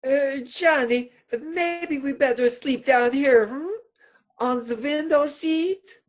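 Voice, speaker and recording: Woman as a timid, high-voiced doctor sidekick. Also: slow. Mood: placating, uneasy. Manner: hesitant, placating. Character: timid, nervous doctor, a criminal's hapless sidekick. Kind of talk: radio play acting.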